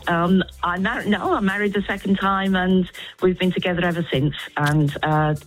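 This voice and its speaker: A woman's voice: on the low side, fairly even intonation, normal speed, faint music behind, poor audio. A friendly woman in her sixties from South Wales, calling in to a radio show and laughing easily. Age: 60s. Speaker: caller. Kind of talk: phone-in chat.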